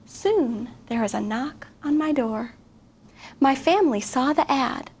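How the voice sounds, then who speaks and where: High-voiced woman reading to children. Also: measured pace, husky. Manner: expressive, questions to young listeners. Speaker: guest reader, teacher. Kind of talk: reading a picture book aloud to children.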